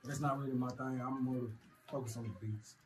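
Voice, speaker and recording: A man's voice: medium pitch, fairly even intonation, a little fast, faint music behind. A man from Kentucky speaking informally as a guest in a casual group interview. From Hopkinsville, Kentucky, United States. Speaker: music producer, group member and interview guest. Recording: noticeable background room noise.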